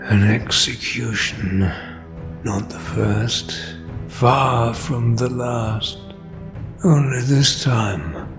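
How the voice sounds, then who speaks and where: An actor playing a grieving, vengeful mutant leader in an audio drama, with a gravelly voice and slow, solemn delivery. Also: male voice, mid-range voice. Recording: loud music underneath.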